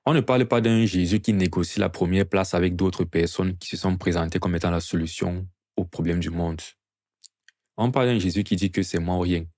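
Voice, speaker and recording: Man, low-pitched voice, earnest preacher, didactic sermon delivery, rhetorical questions, dry sound. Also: fairly even intonation, brisk, darkish tone, no echo. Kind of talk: evangelistic sermon to a gathering.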